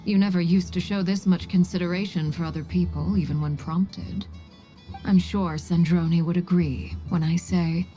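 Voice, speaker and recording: Woman as cool poised game lady, lowish mellow voice, dry n understated. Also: fairly even intonation, moderate pace, faint music behind, lightly acted, poor audio. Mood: composed, amused. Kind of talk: video game voice acting.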